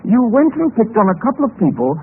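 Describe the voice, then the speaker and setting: Urbane British gentleman adventurer, bantering, higher-voiced, old radio drama on a thin, phone-like recording. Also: huge pitch swings, quick pace. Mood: probing, earnest. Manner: genteel, bantering, with hesitant tag questions. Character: urbane, amused gentleman adventurer, feigning desperation, narrating his tale. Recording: noisy, tinny vintage radio recording.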